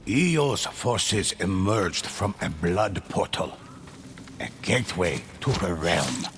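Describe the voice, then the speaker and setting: A man narrating video game cutscenes in a grave, measured scripted delivery. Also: low-pitched, big pitch swings, background noise. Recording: narration over loud music.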